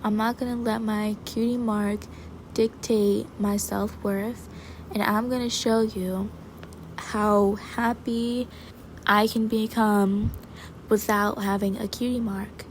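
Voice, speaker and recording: A woman's voice: medium pitch, level tone, takes it slowly, slight background noise, poor audio. A woman voicing a fed-up, defiant adult female pony declaring her independence in a plain, determined way.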